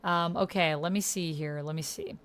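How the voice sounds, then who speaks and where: A woman's voice: lowish voice, steady tone, normal speed, fairly bright tone. A woman hosting a livestream, chatting informally, thinking aloud and reading on-screen text. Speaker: livestream host and player.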